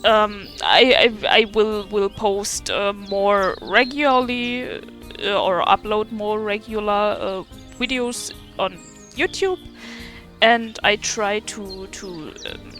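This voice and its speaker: Halting German woman, slow-paced gamer chat. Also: medium pitch, fairly bright tone. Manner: halting, searching for words, self-deprecating. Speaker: gaming video creator and streamer. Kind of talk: casual chat over gameplay. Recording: faint game music behind.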